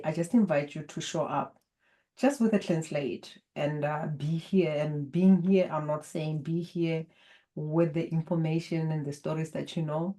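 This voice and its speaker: A woman from Botswana with a Botswana accent, a native Setswana speaker, speaking as an interview guest and energy healer in a calm, measured, spiritual way. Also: deep voice. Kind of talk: interview conversation.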